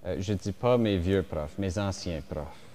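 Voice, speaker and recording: English-speaking bishop preaching slow in a big echoey church, lowish mellow voice. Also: male voice. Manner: slow, reflective preaching with pauses and fillers. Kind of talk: homily at mass. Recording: reverberant church hall.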